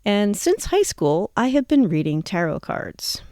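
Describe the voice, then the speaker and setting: A woman's voice: mid-range voice, sing-song, measured pace, a little mellow, dry recording. Woman in her 50s hosting her own show, reflective chatty storytelling, really dry close recording. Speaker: show host. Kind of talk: personal storytelling to listeners.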